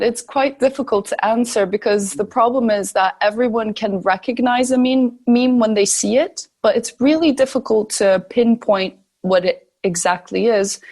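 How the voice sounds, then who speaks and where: A woman's voice: on the high side, darkish tone. A young woman from Turkey and the Balkans answering interview questions conversationally, thoughtful and often searching for her words. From Turkey, grew up in the Balkans. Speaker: podcast guest, sociology researcher.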